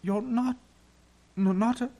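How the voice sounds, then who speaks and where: Anxious girl for a storybook, male reader acting: raised pitch, shaky, questioning, urgent. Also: wildly swooping pitch, measured pace, dark tone, breathy edge, exaggerated voice. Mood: shaky, hesitant. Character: girl, older sister, fearful, questioning and urgent. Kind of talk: storybook character voice.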